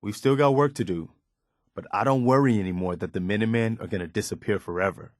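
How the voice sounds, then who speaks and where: An actor playing an earnest, loyal militia lieutenant in a video game, sincere, respectful and soldierly. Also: male voice, on the low side, fast talker, dry recording, studio quality, close to an everyday voice. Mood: heartfelt. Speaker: companion character in a video game.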